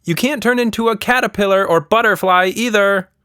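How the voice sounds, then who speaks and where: A man's voice: sits high, a little fast, bright, forceful tone, clean tone, no echo, clean studio sound, pitched above the performer's own voice. A man voicing an imaginative, headstrong little girl in a children's story, speaking earnestly and expressively. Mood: bossy.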